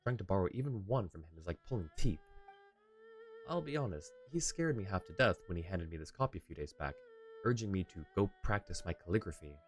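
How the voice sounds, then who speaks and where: A genteel young swordsman from a video game, read by a man, talks in a low voice with bookish polish. He is polite, chatty and lightly playful. Music plays behind. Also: lively intonation, fairly quick. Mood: chatty, earnest. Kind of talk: reading game dialogue in character.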